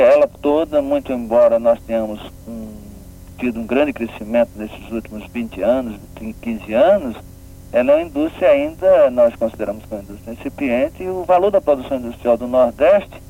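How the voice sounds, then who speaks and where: A man's voice: mid-range voice, gravelly. A man from Pernambuco with a northeastern Brazilian accent, explaining things didactically as a radio interview guest and often checking with tag questions. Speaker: guest, regional development agency official. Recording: narrow phone-like band, faint noise.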